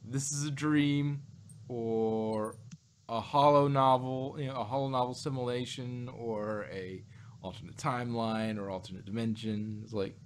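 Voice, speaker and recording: A man's voice: mid-range voice, fairly even intonation, unhurried, a little hiss or hum. A man in a casual podcast discussion, hosting and rambling as he repeats and rephrases his points. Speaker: podcast host. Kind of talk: casual review chat about sci-fi shows.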